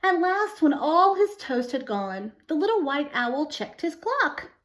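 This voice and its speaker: A woman reading a picture book aloud to young children, pausing to explain things simply and ask questions. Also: high voice. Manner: expressive, asks the listener questions, explains simply. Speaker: storytime reader for young children.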